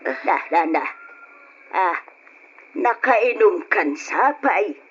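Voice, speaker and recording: A woman's voice: lowish voice, lively intonation, drawn-out words, slightly rough, heavily acted. An actress with an Ilocano Filipino accent playing a worried, scolding middle-aged mother in a radio drama. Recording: muffled, noisy old radio drama.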